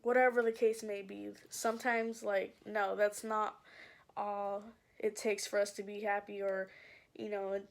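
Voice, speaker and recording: A young woman vlogger chatting casually and personally to her audience, hesitant and halting, with many pauses and fillers. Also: mid-range voice, level tone, creaky. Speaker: vlogger hosting own channel. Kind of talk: casual confessional chat to camera.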